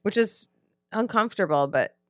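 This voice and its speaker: A young woman from the Philadelphia area co-hosting a comedy podcast, animated and teasing, quick to laugh. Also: medium pitch, no echo, studio quality. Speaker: podcast co-host. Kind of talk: casual comedy podcast chat.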